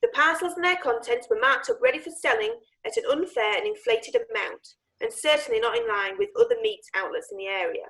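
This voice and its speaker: A woman acts a brisk female lawyer with a high, very animated voice. She presents formally and emphatically, as if on stage before a bench. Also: gravelly, heavily acted, rough recording. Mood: earnest, businesslike. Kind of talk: courtroom stage play acting.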